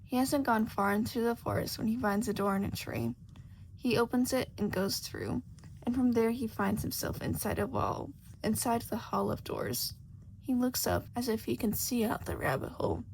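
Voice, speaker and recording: A woman's voice: fairly high pitch, gravelly, darkish tone, rough recording. A woman reading a scripted story aloud as an amateur audiobook narrator. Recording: faint background noise.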